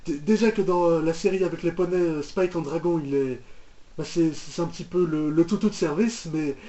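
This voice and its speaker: A man's voice: fairly high pitch, brisk, dark tone. A man giving casual, rambling, mock-exasperated commentary as he reacts to a cartoon, often repeating himself. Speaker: reaction video host. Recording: roomy, dull lo-fi microphone sound.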